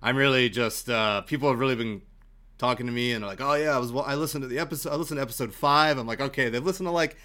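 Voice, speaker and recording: A man's voice: mid-range voice, quick pace, pushed and bright. A man hosting a podcast in casual chat, enthusiastic and joking. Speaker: podcast host.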